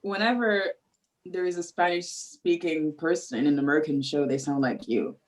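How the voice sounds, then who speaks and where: Chatty low-voiced woman on a call. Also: moderate pace, a little hiss or hum, rough recording. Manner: chatty, complimentary, friendly. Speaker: student in a group meeting. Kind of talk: casual online meeting. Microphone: video call.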